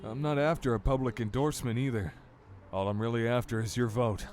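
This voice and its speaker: A man playing an earnest, persuasive mayoral candidate in an audio drama, speaking with sincere, measured polish. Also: mid-range voice, fairly even intonation, a little mellow, soft background music, natural voice.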